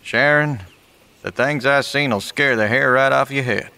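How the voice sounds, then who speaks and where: A wary survivor, bright forceful voice. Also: male voice, medium pitch, normal speed, vocal fry, clean tone. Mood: guarded, plain. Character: wary, plain-spoken male survivor of an apocalypse. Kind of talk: naturalistic audio drama dialogue.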